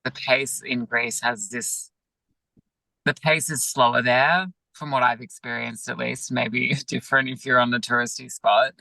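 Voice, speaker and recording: A man, a yoga teacher, talking gently and reflectively over a video call, with many pauses and fillers. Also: mid-range voice, fairly even intonation, vocal fry, dry recording, rough recording. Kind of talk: reflective interview talk.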